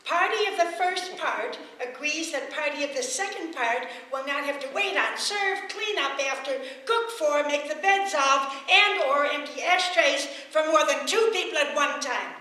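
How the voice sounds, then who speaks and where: A very reverberant radio-play recording with faint hiss. a brash, put-upon housemaid with a high, bright voice. She is blunt and stubborn, always ready to argue back. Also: moderate pace, few pauses. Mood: defiant. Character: brash, stubborn maid who talks back to her employers. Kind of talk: old radio domestic comedy acting.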